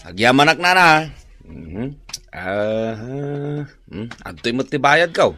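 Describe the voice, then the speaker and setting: A man's voice: medium pitch, big pitch swings, slow, sharp tone, faint music behind, exaggerated voice. An actor playing a stubborn, defensive married village man in an Ilocano radio drama, protesting and blustery. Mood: casual.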